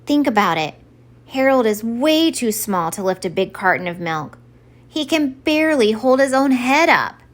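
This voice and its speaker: A woman's voice: fairly high pitch, very animated, normal speed, pitched above the performer's own voice. A woman voicing a mopey, suspicious, stubborn old Santa Claus in a children's storybook, dramatic and insistent. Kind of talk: expressive children's storybook read-aloud.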